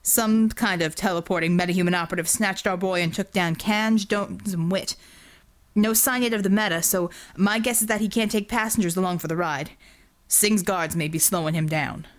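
A woman voicing a gruff, sardonic male combat operative in an audiobook, rumbling out curt, blunt reports. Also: normal speed, natural voice. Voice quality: low rumbling.

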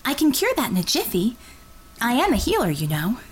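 A woman's voice: a little high, huge pitch swings, fairly quick, breathy edge, pitched above the performer's own voice, full character voice. A woman voicing a wry young heroine, a healer, who speaks in a light, teasing way, read as an audiobook character.

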